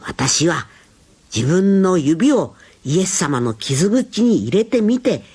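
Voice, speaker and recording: A woman's voice: on the low side, big pitch swings, slow pace, a little mellow, husky, exaggerated voice. A woman voicing a stubborn, doubting male disciple who talks in a scoffing, insistent way.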